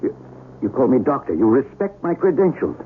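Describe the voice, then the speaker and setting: An actor playing a practical, agitated middle-aged scientist in an earnest, halting, urgent way, in a 1970s radio drama. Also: male voice, medium pitch, sing-song, close to an everyday voice. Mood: insistent. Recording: tinny lo-fi radio recording, faint noise.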